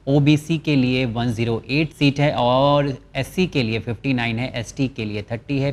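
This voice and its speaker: A man hosting a channel, walking viewers through a government job notice. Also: medium pitch. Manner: explanatory, reads English terms from the notice slowly. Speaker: channel host presenting job vacancies. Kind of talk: informational walkthrough of a job notice.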